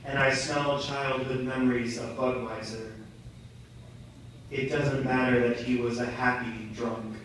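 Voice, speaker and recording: Young male monologist, bright intense voice, deliberate and poetic, distant in a reverberant room. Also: medium pitch. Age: young adult. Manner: theatrical, deliberate, poetic delivery. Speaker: monologue performer. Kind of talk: dramatic spoken-word monologue. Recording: very echoey, distant mic, noisy room.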